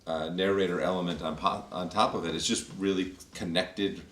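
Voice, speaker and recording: Deep-voiced man musing in an echoey room. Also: level tone, brisk, fairly bright tone. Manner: thoughtful, searching for words. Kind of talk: casual chat. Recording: roomy echo, distant mic, faint noise.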